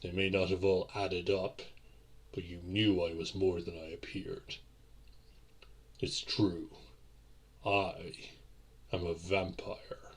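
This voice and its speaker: Man playing a deep, slow, husky vampire boyfriend. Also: little pitch movement, exaggerated voice. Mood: grave, confiding. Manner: formal, old-fashioned, deliberate and theatrical phrasing. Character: courtly centuries-old male vampire boyfriend, tender and darkly secretive. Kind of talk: romantic audio roleplay addressed to the listener.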